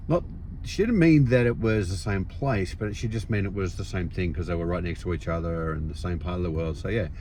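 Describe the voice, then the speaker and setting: A man's voice: on the low side, darkish tone, a little hiss or hum. An Australian man hosting a vlog, explaining things in a casual narrated style with the odd anecdote. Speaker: vlog host.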